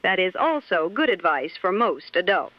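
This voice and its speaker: A woman playing a sensible, deadpan co-host on a 1960s radio show with a dull vintage sound. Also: fairly low pitch, moderate pace, no echo. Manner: dry, deadpan.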